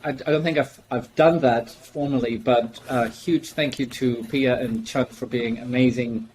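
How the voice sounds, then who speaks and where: A man's voice: medium pitch, fairly even intonation, a little slow, a little mellow, slight background noise, rough recording. A man hosting an online book launch over a video call, chatty and full of fillers and restarts. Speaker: host and book editor.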